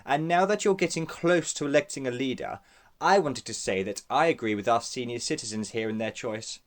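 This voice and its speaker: A man playing a polite IT worker in an amateur radio play, speaking in a formal, measured way. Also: mid-range voice, close to an everyday voice. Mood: persuasive.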